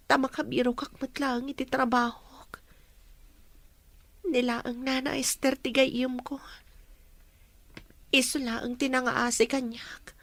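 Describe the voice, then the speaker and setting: A woman's voice: sits high, exaggerated voice. A woman playing a humble, sorrowful young orphan in an audio drama, speaking plaintively and respectfully, with background noise. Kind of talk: dramatized audio story acting.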